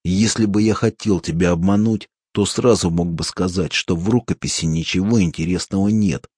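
A man voicing a wiry, calm man who speaks dryly with quiet mockery. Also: deep voice, breathy edge, no room sound. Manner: calm, dry, unhurried.

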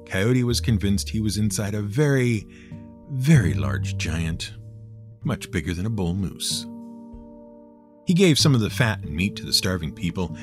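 A man narrating scripted folk tales as host, in a wry, theatrical manner. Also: mid-range voice. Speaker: storyteller and show host.

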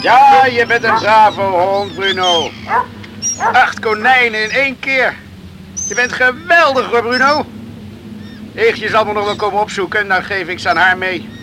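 A man's voice: sits high, a little slow. An actor playing a young hunter chatting fondly and contentedly to his dog in a Dutch radio play. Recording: noisy old radio recording.

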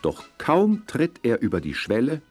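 An actor as the narrator of a children's audio drama, telling the scripted story in a dramatic storybook manner. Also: male voice, mid-range voice, wildly swooping pitch, measured pace, darkish tone, soft background music.

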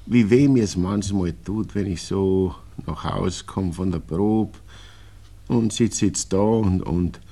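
An actor playing a genial, tender older father with a Viennese accent and a homely, slightly halting manner, in a 1960s radio play. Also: deep voice, dark tone, exaggerated voice.

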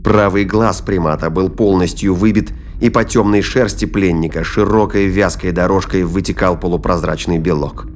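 A man's voice: low-pitched, steady tone, moderate pace, hardly any pauses, slight background noise. A man narrating a science-fiction thriller audio play as scripted storytelling.

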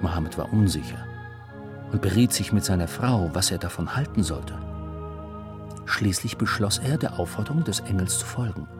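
A man narrates a scripted educational audiobook for children in a measured, clearly phrased storytelling manner. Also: low-pitched, animated, music in the background.

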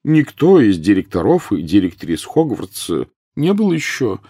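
A man voicing a brisk, businesslike older female professor in an audiobook story. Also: lowish voice, wildly swooping pitch, warm tone, slightly rough, dry recording, clean studio sound, voice pushed up, exaggerated voice.

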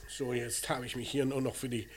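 A man's voice: medium pitch, flat delivery, brisk, close to an everyday voice. A man acting a terse, probing police commissioner in a radio crime play, speaking in a curt, matter-of-fact way. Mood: businesslike. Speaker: actor in a radio crime drama.

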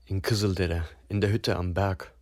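Grim, terse narrator. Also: male voice, low-pitched, steady tone, takes it slowly, a little mellow, no room sound, studio quality. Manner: terse, matter-of-fact. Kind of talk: radio drama narration in second person.

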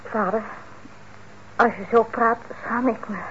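An actress playing a proud, defensive young grown daughter in a radio drama, insistent yet hesitant. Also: female voice, fairly high pitch, steady tone, measured pace, a bit bright. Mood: reproachful, wounded. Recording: muffled old radio recording, faint noise.

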